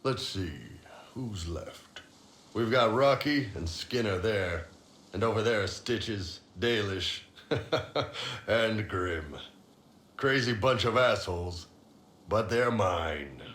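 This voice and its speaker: An actor playing a big, jovial mercenary chief with a blunt, casual way of talking. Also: male voice, on the low side, takes it slowly, fairly bright tone. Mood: fond, proud. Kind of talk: video game dialogue acting. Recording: roomy game capture, faint background noise.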